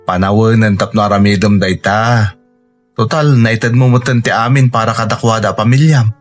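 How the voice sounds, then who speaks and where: An actor playing a steady, supportive young Filipino man with an Ilocano accent, reassuring in a radio drama. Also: fairly low pitch, normal speed, soft background music, close to an everyday voice. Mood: reassuring, advising.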